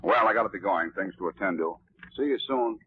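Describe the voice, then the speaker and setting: An actor playing a brusque, dismissive police commissioner in a 1940s radio drama, gruff and blunt. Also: male voice, fairly low pitch, brisk, a little hiss or hum, close to an everyday voice. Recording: muffled old radio recording.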